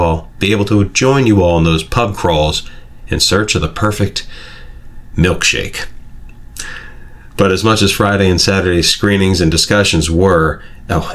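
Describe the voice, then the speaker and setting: Very low-voiced middle-aged man. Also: fairly bright tone. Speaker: fan sending in recorded feedback to a podcast. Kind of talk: scripted personal tribute.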